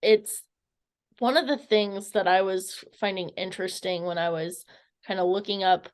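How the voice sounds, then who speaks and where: A woman's voice: medium pitch, steady tone, normal speed, a little mellow. A woman interviewing someone casually, tentative and rambling, stumbling over her words as she goes.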